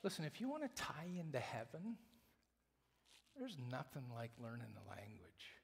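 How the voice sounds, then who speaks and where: A male preacher giving a sermon, moving between loud emphatic lines and hushed whispers. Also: mid-range voice, huge pitch swings, moderate pace, gravelly. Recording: long reverb of a large hall.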